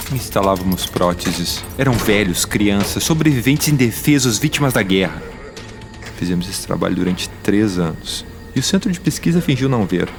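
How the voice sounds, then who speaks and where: A low voiced scientist w/ a mechanical arm, wordy n formal audio drama storytelling, music loud underneath. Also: male voice. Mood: earnest recollection. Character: male scientist with a mechanical arm recounting a wartime past.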